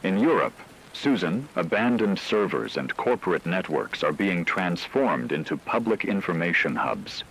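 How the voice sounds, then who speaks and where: A man playing an earnest, solemn radio news anchor, reading a scripted broadcast in a formal, measured way. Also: low voice, sing-song, fairly bright tone, slight background noise, full character voice, low-quality recording.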